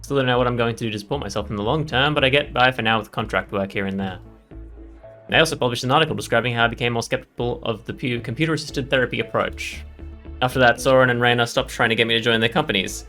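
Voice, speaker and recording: A male let's player reads visual novel text aloud in a quick, bright voice of middle pitch, with his own reactions. Faint game music sits behind him.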